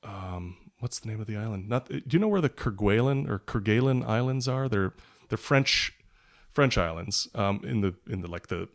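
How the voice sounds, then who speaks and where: A man with a low voice and plenty of vocal fry talks as a guest on a podcast. He rambles casually, pausing and circling as he thinks aloud. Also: expressive. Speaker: podcast guest, writer and product journalist. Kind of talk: casual conversational interview chat.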